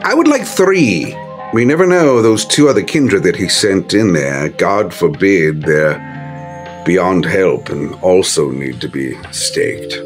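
A man's voice: sits low, expressive, takes it slowly. A man talking casually as a player in a tabletop roleplaying game. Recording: music behind the voice.

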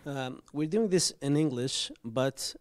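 A man's voice: mid-range voice, slow. A man with a mid-range voice works as the interviewer, asking loose questions. He stops and restarts often, with plenty of fillers between his words. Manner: hesitant, many fillers and restarts.

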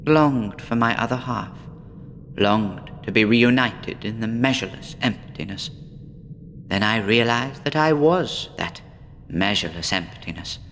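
Guy doing a gloomy young woman patient, slow and brooding, echoey horror radio play. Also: mid-range voice, a bit bright, a little hiss or hum. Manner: slow, brooding, poetic and weary. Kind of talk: horror audio drama acting.

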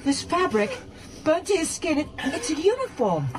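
High-voiced, observant woman investigator. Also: big pitch swings, normal speed. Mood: concerned. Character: curious clinical woman investigator, unflinching at gore. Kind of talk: radio drama acting.

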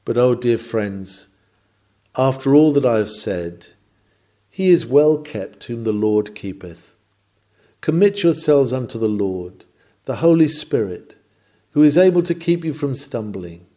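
Male sermon reader, soft-edged warm tone, mid-range pitch, earnest rhetorical delivery. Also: lively intonation, a little slow. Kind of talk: scripted sermon reading, oratorical.

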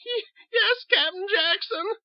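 A man voicing a timid, fretful old spinster, speaking in a stammering, pleading falsetto. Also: sing-song, measured pace, creaky, dull audio, heavily acted.

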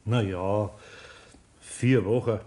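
A man's voice: fairly low pitch, fairly even intonation, slow pace, dark tone. An older man with a Bavarian accent playing a good-natured master carpenter in a 1960s German radio play, homely and hesitant. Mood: reluctant.

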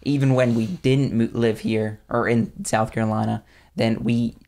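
A young man with a slight Southern accent and a gravelly, creaky voice, talking in an easygoing, rambling way on a podcast. He is recorded close on a studio mic in a small room, at an ordinary male pitch. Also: fairly even intonation, moderate pace, a little mellow. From Pennsylvania, United States. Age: young adult. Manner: easygoing, rambling, restarts thoughts. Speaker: podcast co-host. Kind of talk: casual chat. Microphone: studio mic on boom arm.

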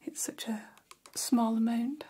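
Casting: gossipy vampire salon stylist woman acting, ASMR roleplay, very breathy whisper, slow and gentle. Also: a little high, fairly even intonation. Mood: gossipy, confiding. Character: gossipy female vampire hairstylist, attentive and chatty. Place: small decorated room, indoors.

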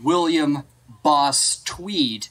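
A man's voice: a little high, drawn-out words, bright, forceful tone. A man hosting a casual history podcast, explaining events from his notes and often laughing with his co-hosts. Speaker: podcast host presenting history.

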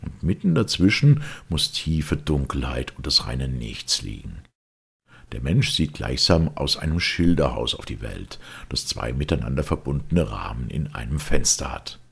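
A man reading literary prose as an audiobook narrator, with a gravelly, husky voice and measured, deliberate phrasing. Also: very deep voice, very animated, fairly bright tone.

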